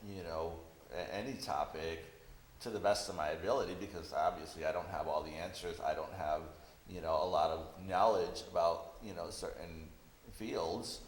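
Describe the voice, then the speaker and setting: A man's voice: deep voice, moderate pace. A man chatting casually as a guest, in a conversational way full of small checking phrases. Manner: conversational, peppers speech with "you know". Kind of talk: casual chat. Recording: roomy echo with clear background hiss.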